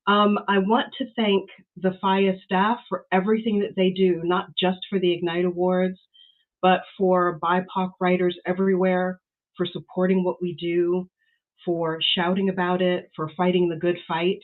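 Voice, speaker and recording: A woman's voice: on the low side, flat delivery, normal speed. A woman giving an award acceptance speech as an author. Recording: band-limited, slightly dull audio.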